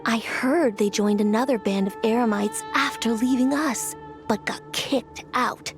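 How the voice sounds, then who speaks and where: A woman's voice: fairly high pitch, measured pace, over music, close to an everyday voice. A woman voicing a disdainful, gossiping desert clanswoman in a video game. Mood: scornful. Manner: scornful.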